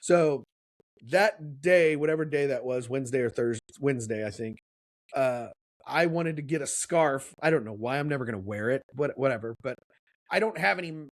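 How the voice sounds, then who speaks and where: A man's voice: medium pitch, normal speed, no echo. A man, co-hosting a podcast and telling a long, rambling story in casual chat. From Kansas City, USA. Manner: rambling storyteller, acts out quotes. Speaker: podcast co-host.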